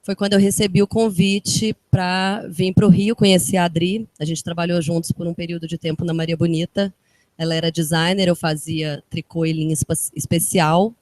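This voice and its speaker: A woman's voice: on the low side, little pitch movement, darkish tone, no room sound. A woman from Minas Gerais with a Minas accent, a fashion designer chatting casually and enthusiastically to a live audience. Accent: Minas Gerais Brazilian Portuguese. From Minas Gerais, Brazil. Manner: chatty, enthusiastic, quick bursts of speech. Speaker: fashion designer and guest speaker. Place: event room with an audience.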